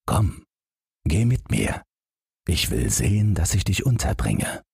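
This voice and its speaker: A gruff fairy-tale carter, as a male reader puts him on, low and husky. He is brusque, curious and takes charge. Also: very animated, takes it slowly, a little mellow, no echo, studio quality, full character voice.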